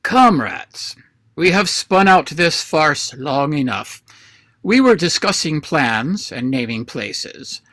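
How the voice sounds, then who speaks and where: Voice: mid-range voice, animated, slow, a bit bright, no room sound. An actor playing imperious, mocking anarchist leader Sunday. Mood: commanding, grave. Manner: pompous, commanding, drily mocking. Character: Sunday, imperious scornful male president of an anarchist council. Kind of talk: radio play read aloud. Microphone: video call.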